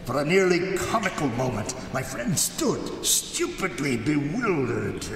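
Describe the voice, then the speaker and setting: Brief: a mocking, vengeful nobleman narrator; swooping pitch, live reverberant hall. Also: very animated, fairly bright tone, full character voice. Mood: menacing. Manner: slow, theatrical, mocking, swinging sharply in pitch. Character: cold, scheming older nobleman narrating revenge. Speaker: Montresor, narrator-murderer. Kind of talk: live stage radio drama. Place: large hall before a live audience. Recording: roomy long hall reverb, audience noise.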